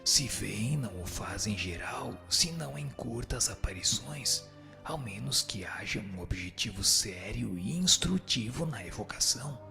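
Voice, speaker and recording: Gravelly, low-voiced male spirit teacher, acted by a man. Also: animated, unhurried. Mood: solemn, instructive. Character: male spirit teacher answering questions, calm, firm, didactic. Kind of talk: scripted dramatized reading of a spiritist book. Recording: music bed under the voice.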